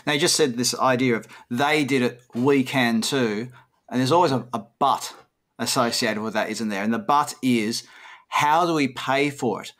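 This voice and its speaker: A man in his fifties hosts a podcast interview in a mid-range voice. He lays out his questions in a measured way, speaking on a video call from indoors. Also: medium pitch, fairly bright tone. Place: bright room, indoors.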